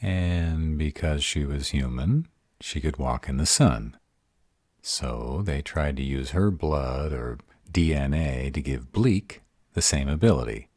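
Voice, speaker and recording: A man's voice: a bass voice, lively intonation, slow pace, a little mellow, no echo, clean studio sound, full character voice. A man playing a sarcastic, insecure young vampire in an audio roleplay, with a drawling manner. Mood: puzzled, hurt.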